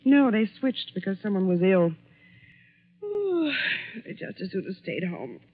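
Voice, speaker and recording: An actress playing an evasive, easily flustered wife in a 1940s radio mystery, with a breathy, hesitant delivery. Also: female voice, medium pitch, huge pitch swings, measured pace, in a large hall, exaggerated voice. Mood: drowsy, evasive. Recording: muffled, tinny 1940s radio recording.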